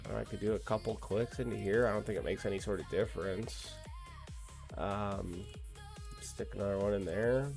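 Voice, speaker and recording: A man's voice: on the low side, darkish tone. A man with a slightly nasal voice chatting casually with viewers while he plays a game, swearing freely. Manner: laid-back, swears freely, talks to chat. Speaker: gaming streamer. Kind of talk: casual live-stream chat while playing.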